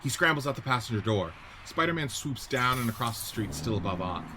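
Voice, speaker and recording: Male narrator for radio play, mid-pitched, reads scene directions; noisy podcast recording. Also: big pitch swings, fairly quick. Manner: descriptive, present-tense scene setting. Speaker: narrator reading stage directions.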